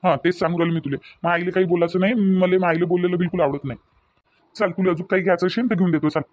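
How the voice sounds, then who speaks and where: Man playing a rapid-talking, high-voiced firm husband. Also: steady tone, lightly acted. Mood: firm, insistent. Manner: firm, repetitive. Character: firm husband protective of his mother. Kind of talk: cartoon family comedy skit acting.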